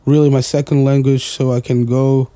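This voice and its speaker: Dark-voiced man, rambling casually. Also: medium pitch, flat delivery, moderate pace, clean tone, no room sound. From Portugal. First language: Portuguese. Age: 20s. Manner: rambling, heavy fillers and casual swearing. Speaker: guest, music producer. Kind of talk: casual podcast chat.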